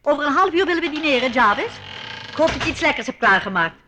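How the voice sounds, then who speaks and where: An actress playing a brisk, eccentric older aristocratic aunt in a radio play, chatty, commanding and fondly scolding. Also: female voice, high-pitched, lively intonation, background noise. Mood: bossy, exasperated.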